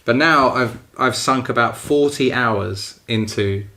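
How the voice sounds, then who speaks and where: A man's voice: mid-range voice, slow pace, bright, forceful tone. A British man in casual conversation about games and films as a podcast co-host.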